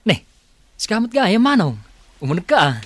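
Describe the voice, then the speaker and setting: A man's voice: sits high, big pitch swings, fairly quick, a little hiss or hum. A man playing an exasperated but patient adult son of an elderly father in a radio drama. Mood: flustered.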